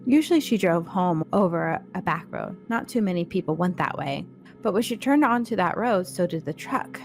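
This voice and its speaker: Female read-along host, lowish register, informal and direct with the audience, light music bed. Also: expressive, moderate pace, gravelly, darkish tone. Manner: informal, addresses listeners directly. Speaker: podcast co-host and story reader. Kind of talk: read-along of a scary short story with chatty intro.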